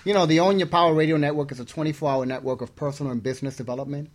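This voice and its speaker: A man's voice: on the high side, fairly even intonation, very fast, very noisy. A middle-aged man chatting casually as the co-host of a radio show.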